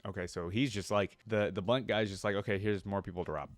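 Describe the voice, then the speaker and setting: A man's voice: lowish voice, steady tone, fast talker, no echo. A man reading a story aloud and commenting casually as a reaction video host, often thinking aloud.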